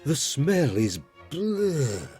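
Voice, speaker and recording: A man voicing a moody, tired, snappish young girl in a breathy, childlike manner for an audiobook. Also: a little high, sing-song, drawn-out words, warm tone, faint music behind, voice pushed up, heavily acted. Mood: disgusted, miserable.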